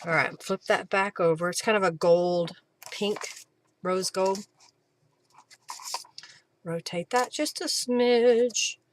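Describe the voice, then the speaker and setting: A woman's voice: mid-range voice, steady tone, takes it slowly, pauses often, a little hiss or hum. A woman hosting a craft livestream, casually talking through each step and thinking aloud as she works. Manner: informal, talks to herself and viewers while working. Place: live stream while working with craft materials.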